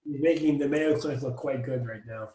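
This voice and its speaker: A man's voice: mid-range voice, brisk, warm tone, reverberant. A man hosting a casual video-call welcome as a residency program director, upbeat and jokey. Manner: upbeat, jokey, friendly banter.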